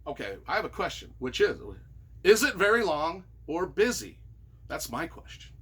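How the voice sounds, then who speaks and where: A man reading reviews aloud and reacting casually in an expressive, wry, self-deprecating way. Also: a little high, moderate pace, husky. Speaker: author and YouTuber.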